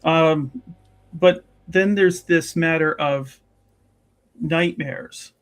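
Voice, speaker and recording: A man voicing a composed, courteous but pointed noblewoman in a tabletop game, speaking in a halting, measured, diplomatic way. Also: on the high side, steady tone. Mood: probing. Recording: music behind the voice.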